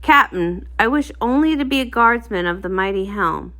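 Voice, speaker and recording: Folksy, humble male pony warrior for a storybook, played by a woman, animated and earnest. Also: medium pitch, moderate pace. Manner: folksy old-fashioned speech.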